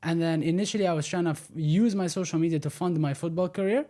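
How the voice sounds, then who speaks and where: A confident young man in his twenties talks as a podcast guest, direct and methodical. His voice sits a bit high for a man. He grew up between the Netherlands and Egypt and lays out his points plainly. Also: quick pace, dark tone. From born in the Netherlands, raised in Egypt. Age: 20s. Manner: confident, direct, explains step by step. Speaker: podcast guest, personal branding strategist. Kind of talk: casual interview conversation.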